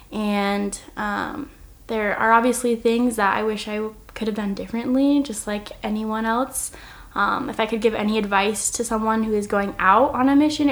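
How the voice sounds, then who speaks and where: A woman in her twenties from Oregon, answering a podcast interview in an earnest, reflective way and laughing easily. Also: mid-range voice. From Oregon, United States. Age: 20s. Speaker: podcast guest. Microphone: single shared microphone.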